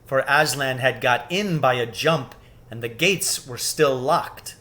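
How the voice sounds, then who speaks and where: A man's voice: mid-range voice, unhurried, sharp tone. A man narrating a children's storybook as a scripted read-aloud. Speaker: storybook reader.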